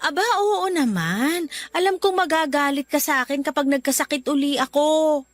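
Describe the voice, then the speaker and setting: A woman's voice: high-pitched, moderate pace, no room sound, close to an everyday voice. A woman acting a hard-working mother in a sentimental radio drama. She sounds plaintive and tender, a careworn parent speaking to her child. Faint music plays behind her. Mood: relieved, reassuring. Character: worried, loving, hard-working poor mother. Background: background music.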